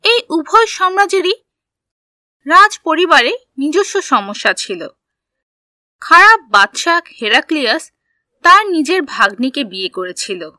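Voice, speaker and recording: A woman narrating a scripted children's history story in a simple, clearly phrased storybook manner. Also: high voice, sing-song, a little slow, long pauses, sharp tone, dry recording.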